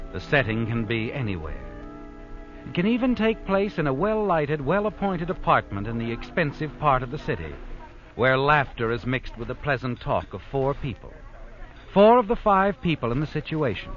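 Casting: brooding, all-knowing radio narrator of murder tales, animated mid-range delivery, dramatic, muffled vintage sound. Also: male voice, medium pitch. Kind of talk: 1940s radio mystery narration. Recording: dull old radio recording with prominent music.

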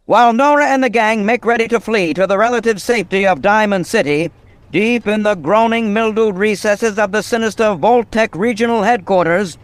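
An actor playing a grim, dramatic storyteller, narrating a scripted old-time radio mystery. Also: male voice, high voice, normal speed, lightly acted. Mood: ominous. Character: grim male noir storyteller, dramatic and ominous.

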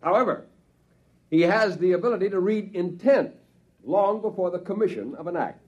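An earnest professor addressing a meeting in a vintage radio drama. His delivery is deliberate and fairly high in pitch, on a muffled old recording. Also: expressive. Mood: measured. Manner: deliberate, explanatory.

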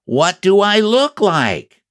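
A man's voice: mid-range voice, huge pitch swings, unhurried, no room sound, studio quality, pitched above the performer's own voice, heavily acted. A man voicing a small, earnest toy bear from a children's storybook, ponderous and solemn as he schemes. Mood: hopeful, anxious.

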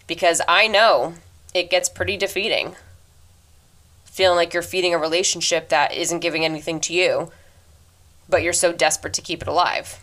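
A woman in her twenties hosting a solo podcast, talking earnestly and reflectively into her microphone, fast by habit, with a deep voice. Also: fairly even intonation, a bit bright. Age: 20s. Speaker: podcast host. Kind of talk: casual solo advice talk.

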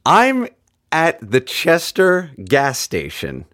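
A man's voice: fairly high pitch, huge pitch swings, takes it slowly, fairly bright tone, no echo, studio quality. A man co-hosting a podcast, chatting casually and recounting things he remembers. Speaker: podcast co-host. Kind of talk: casual chat.

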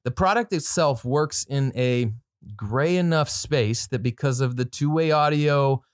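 A man's voice: medium pitch, unhurried, no room sound, studio quality. A man hosting a podcast, giving casual product commentary with informal, slangy asides and some reading from notes. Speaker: podcast host.

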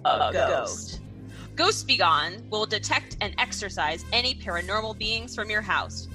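A peppy commercial announcer, played by a woman in a radio play. Her voice is bright and bouncy. Faint music plays behind her. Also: very animated, unhurried. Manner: upbeat commercial pitch. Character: bright, peppy female ghost-removal ad announcer.

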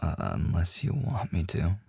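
A man's voice: sits low, wildly swooping pitch, unhurried, creaky, darkish tone, husky, no echo, clean studio sound, full character voice. A man playing a devoted, slightly obsessive boyfriend in an intimate ASMR audio roleplay, with a hesitant, stammering manner. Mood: teasing. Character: devoted, nervously joking boyfriend hiding obsessive yandere streak. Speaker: voice actor.

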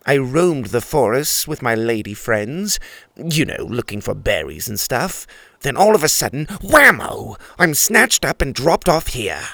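A stuck-up male turkey with a lively, swooping voice, sniffing at everything around him in a slow, haughty drawl, as a man puts him on. The sound is dry and close. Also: medium pitch, gravelly, dry recording, heavily acted. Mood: scornful. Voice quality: haughty, sneering, drawn-out delivery. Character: snooty grumpy male turkey, complaining, pompous.

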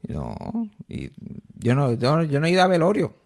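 Animated gossipy podcast host man. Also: a little high, normal speed, creaky. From Texas. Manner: animated storyteller, acts out quoted voices. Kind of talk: casual gossipy chat.

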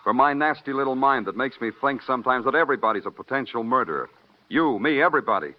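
A man's voice: medium pitch, lively intonation, quick pace, close to an everyday voice. An American man playing a hard-nosed police detective who narrates moodily and questions curtly in a radio crime drama. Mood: stern, pressing. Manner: clipped questioning, moody poetic narration. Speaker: narrator and lead actor. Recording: muffled vintage radio recording, loud music.

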